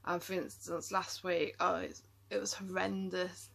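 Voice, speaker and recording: A woman talking casually to camera, tired and rambling, with many ums and half-finished thoughts. Her voice is bright and forward but catches into a gravelly fry. She sounds worn out yet keeps chatting. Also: lowish voice, level tone, measured pace. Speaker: vlogger.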